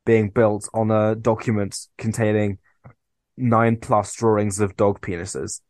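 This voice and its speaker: A man's voice: on the low side, little pitch movement, measured pace, darkish tone, no echo, studio quality. A young man co-hosting a podcast, bantering in a teasing, mock-indignant way. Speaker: podcast co-host. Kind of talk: casual chat.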